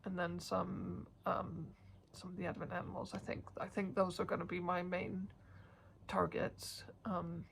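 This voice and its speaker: A woman with a blocked, sniffly nose talks to camera in a loose, rambling way, pausing often with hesitant fillers. Her voice has a gravelly, fry-tinged texture. She keeps her pitch flat and even throughout. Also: slight background noise. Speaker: cross-stitch vlogger. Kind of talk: casual chat to camera.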